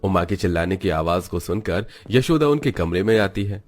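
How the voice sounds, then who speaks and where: Low-voiced male storyteller. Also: expressive, normal speed. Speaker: storyteller, narrator. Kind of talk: scripted story narration.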